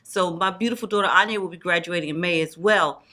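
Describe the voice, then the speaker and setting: A woman's voice: on the low side, fast, sharp tone. A woman in her forties giving personal testimony as an evangelist, with emphatic, repeated religious phrasing. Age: 40s. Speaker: guest evangelist and student.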